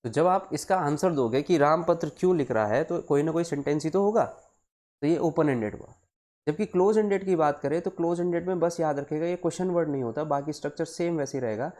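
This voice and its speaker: A man teaching a live online class, explaining deliberately, repeating key phrases and switching between Hindi and English as he addresses his students. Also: fairly high pitch, darkish tone.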